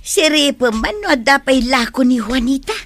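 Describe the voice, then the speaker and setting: A woman's voice: high-pitched, animated, normal speed, a bit bright, a little hiss or hum. A woman with an Ilocano accent playing a scheming, complaining village neighbour in a comedy drama. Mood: wheedling.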